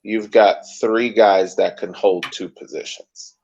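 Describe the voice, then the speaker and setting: A man co-hosting a sports podcast, talking casually and thinking aloud in measured, careful points. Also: on the low side, fairly even intonation, gravelly, a little mellow, breathy edge. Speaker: podcast co-host. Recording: dull lo-fi podcast audio.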